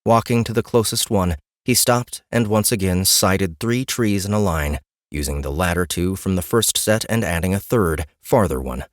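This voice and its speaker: A man's voice: low-pitched, no echo, clean studio sound. A man reading a scripted fantasy story as an audiobook narrator.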